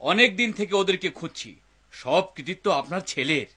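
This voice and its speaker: A man's voice: high voice, expressive, moderate pace, bright, forceful tone, husky, heavily acted. A man voicing a commanding, confident police officer in a cartoon. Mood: assured. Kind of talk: dubbed cartoon acting.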